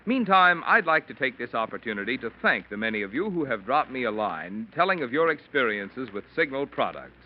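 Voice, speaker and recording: A man's voice: mid-range voice, sing-song, fairly quick, hardly any pauses. A man working as an old-time radio announcer, reading a scripted sponsor message. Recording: muffled, hissy old radio recording.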